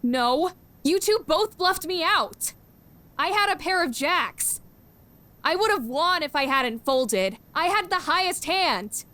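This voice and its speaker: A woman's voice: extremely high pitch, expressive, sharp tone, exaggerated voice. A woman playing a competitive, anxious teenage girl who muses over a card game in a comic dub. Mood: indignant. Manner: deliberate, musing to self. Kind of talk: webcomic fan dub acting with inner monologue. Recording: roomy voice with music behind.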